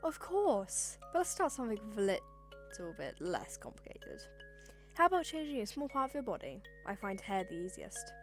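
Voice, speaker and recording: A woman's voice: medium pitch, sing-song, moderate pace, over music, lightly acted. A woman voicing a prim, patient, motherly female gem mentor in an amateur comic dub. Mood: encouraging.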